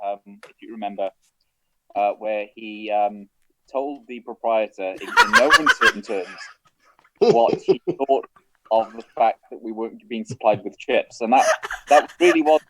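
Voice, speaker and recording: A man telling casual anecdotes in a hesitant, halting manner. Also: fairly low pitch, a bit bright, slight background noise, low-quality recording.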